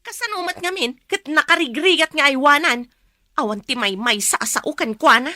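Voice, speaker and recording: Actress as high-voiced, exasperated Ilocano daughter. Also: female voice, normal speed, sharp tone, no room sound, studio quality. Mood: aggrieved. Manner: exasperated, complaining. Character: weary, resentful adult daughter caring for her sick mother. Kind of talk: Ilocano radio drama acting.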